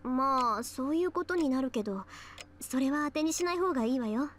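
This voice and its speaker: A woman voicing a sharp-tongued teenage schoolgirl mage in a visual novel, brisk, matter-of-fact and a little scolding. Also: high-pitched, animated, a little hiss or hum. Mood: explaining. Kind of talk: Japanese visual novel voice acting.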